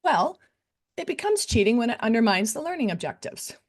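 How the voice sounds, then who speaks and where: A woman's voice: fairly high pitch, very animated, brisk. A woman presenting to faculty in a conversational style, with frequent fillers and "right?" tag questions. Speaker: academic integrity director giving a faculty talk. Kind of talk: conference presentation.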